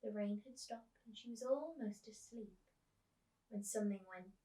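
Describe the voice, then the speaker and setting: A woman reading a children's story aloud as a teacher, with expressive narration. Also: medium pitch, a little slow. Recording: roomy echo, distant microphone.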